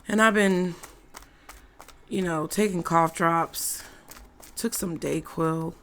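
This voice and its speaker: A hoarse woman giving a casual, chatty tarot reading to her viewers. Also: fairly low pitch, fairly bright tone. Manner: slow, chatty, laughs often. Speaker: tarot reader.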